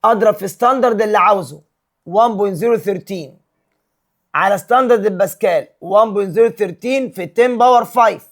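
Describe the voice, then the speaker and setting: A male physics teacher with an Egyptian Arabic accent, working through exam problems step by step and mixing English terms and numbers into his Arabic. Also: high voice. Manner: mixes English terms and numbers into Arabic, reads questions slowly.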